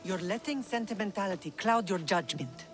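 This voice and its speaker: An actress playing the fierce, vengeful mother of the hero in a game cutscene, who argues forcefully for bloodshed. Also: medium pitch, expressive, normal speed, faint music behind, natural voice. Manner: forceful, scheming.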